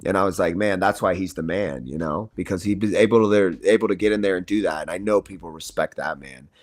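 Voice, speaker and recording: A man's voice: low voice, quick pace. Laid-back young man saying "bro". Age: 20s. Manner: laid-back, slangy, says "bro" a lot. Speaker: wrestler guest. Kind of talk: casual livestream chat.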